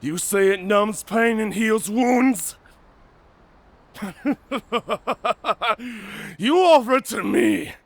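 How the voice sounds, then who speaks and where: A man playing a proud, honor-bound and taunting werewolf warrior in a cinematic audio roleplay, speaking formally with a menacing, raspy growl. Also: high voice, lively intonation, heavily acted. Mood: suspicious, proud. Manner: slow, menacing, theatrical, formal speech.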